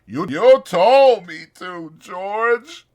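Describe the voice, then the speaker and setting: A man voicing a big, simple-minded man who pleads in a high, frightened voice. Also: lively intonation, extremely slow, a little mellow, exaggerated voice. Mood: terrified.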